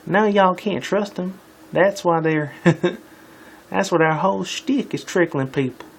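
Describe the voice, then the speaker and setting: Man acting raised-pitch Southern mare, blunt, distrustful, storybook character, noisy recording. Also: takes it slowly, gravelly, exaggerated voice. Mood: wary, blunt.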